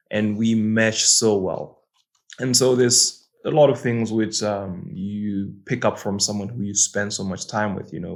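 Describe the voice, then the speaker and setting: Man, young adult, from Malawi, low steady voice, earnest reflective channel host. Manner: earnest, repeats words while thinking. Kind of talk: casual reflective monologue.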